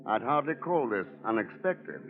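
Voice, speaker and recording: A man's voice: mid-range voice, big pitch swings, normal speed, over loud music. A man playing a cool, watchful investigator in a vintage radio drama, speaking with dry, suave understatement. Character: cool, wry, watchful male investigator hero. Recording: muffled, band-limited old radio recording.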